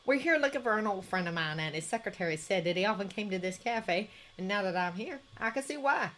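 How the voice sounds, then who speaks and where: A woman's voice: mid-range voice, expressive, a little fast, lightly acted. A woman voicing a nervous, friendly tourist man. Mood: sheepish. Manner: sheepish and warm.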